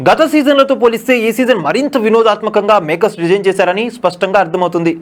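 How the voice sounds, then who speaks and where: A man narrating scripted entertainment news as a voice-over. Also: high-pitched.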